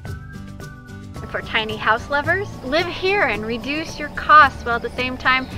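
A woman's voice: wildly swooping pitch, a little slow, recorded from a distance, music in the background. A young woman giving an earnest, inviting scripted voice-over as she presents her homestead for sale. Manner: earnest, inviting sales pitch.

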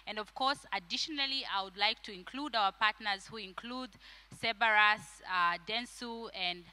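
A woman's voice: mid-range voice, normal speed, pushed and bright, smooth. A woman giving a friendly welcome speech on a conference stage into a handheld mic. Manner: friendly, with ums. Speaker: regional manager hosting an event. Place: stage in a large conference hall.

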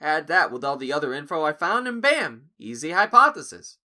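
A man's voice: on the high side, very animated, a little fast, bright, forceful tone, heavily acted. A man playing a nervous but clever young human in a cartoon audio drama, hesitant and wry. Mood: confident.

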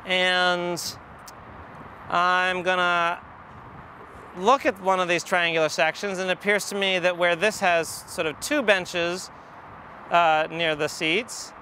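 A man's voice: high voice, pushed and bright. A man in his forties with an American accent, teaching step by step and thinking aloud as he explains. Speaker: math educator. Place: outdoors in an empty football stadium. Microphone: lapel. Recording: outdoor ambient noise, distant traffic.

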